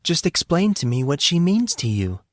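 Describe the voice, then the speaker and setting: Man putting on a smug, high-pitched, prying woman. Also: very animated, normal speed, dry recording. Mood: sweet, insistent. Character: smug, prying motherly woman who says honey. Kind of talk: audiobook character voice.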